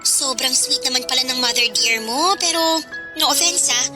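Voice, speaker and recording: A woman's voice: high voice, normal speed, close to an everyday voice. An actress playing a carefree, bratty teenage party girl in a radio drama, slangy and flippant. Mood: teasing, smug. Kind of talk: radio drama acting in Filipino. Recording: loud music bed.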